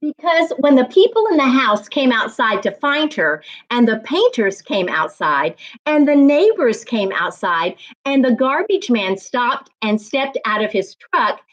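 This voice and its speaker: A woman reading aloud and teaching as a storytime reading coach, with deliberate, emphatic phrasing meant for young listeners. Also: fairly high pitch, sing-song, low-quality recording. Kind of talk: expressive children's read-aloud and teaching.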